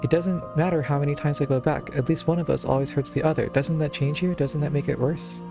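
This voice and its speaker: A woman's voice: sits low, fairly even intonation, fast talker, creaky. A woman streaming a game, casually commenting and reading dialogue choices aloud. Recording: game music behind voice.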